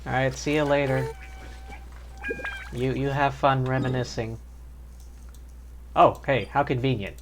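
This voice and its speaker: A man's voice: medium pitch, fairly even intonation, a little slow, pauses often, background noise. A man doing casual live gameplay commentary as a streamer, talking to himself as he plays. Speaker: game streamer.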